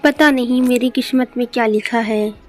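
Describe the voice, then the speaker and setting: A woman's voice: on the high side, steady tone, normal speed, a little mellow, soft background music, lightly acted. A woman voicing a greedy, nagging village wife in a cartoon moral story, scolding and demanding.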